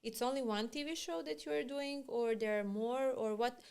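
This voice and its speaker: Chatty woman podcast host, higher voice. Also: normal speed, vocal fry, darkish tone, slight background noise. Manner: chatty, rambling questions with many fillers. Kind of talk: casual interview chat.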